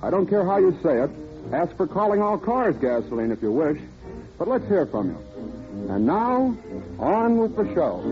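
A man's voice: high voice, very animated, moderate pace. A man hosting a radio show in 1930s announcing style, delivering scripted sponsor patter. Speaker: host and sponsor pitchman. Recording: dull old radio recording with music.

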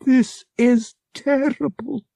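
A man's voice: very high voice, fairly even intonation, slow, gravelly, dark tone, no room sound, pitched above the performer's own voice, heavily acted. A man voicing a timid, fretful older mother who speaks in gasping, fluttery exclamations. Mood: anxious, dismayed.

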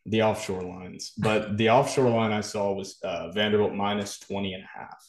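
A man co-hosting a sports podcast, giving measured, detailed football analysis in a casual preview style. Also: on the low side, fairly even intonation.

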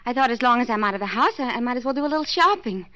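A woman's voice: on the high side, expressive, rapid-fire, fairly bright tone. An actress playing a flustered, evasive wife in a 1940s radio drama, stammering out her excuses. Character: evasive, flustered young wife hiding an affair.

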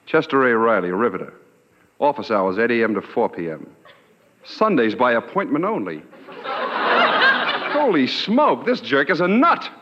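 A man's voice: mid-range voice, big pitch swings, normal speed, long pauses, natural voice. An actor playing a brash, casual male motorist in a 1940s radio comedy, terse and wisecracking. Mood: exasperated, amused. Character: brash, casual movie-actor motorist, man, easily exasperated. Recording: noisy, dull old radio recording.

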